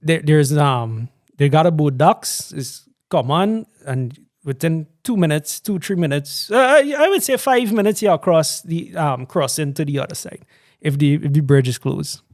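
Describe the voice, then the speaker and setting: A man's voice: on the high side, expressive, moderate pace, darkish tone, no room sound, studio quality. A man from Guyana with a Guyanese accent, a guest talking earnestly and proudly in a casual podcast chat. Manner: proud, earnest, laughs often. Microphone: studio podcast mic.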